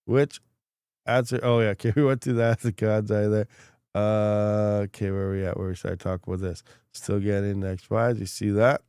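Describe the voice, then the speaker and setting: A man's voice: on the low side, fairly even intonation, normal speed, darkish tone, clean tone, no room sound, studio quality. A man hosting a crypto mining livestream and reading chat comments, congested while recovering from a lost voice, rambling frankly with many fillers and restarts.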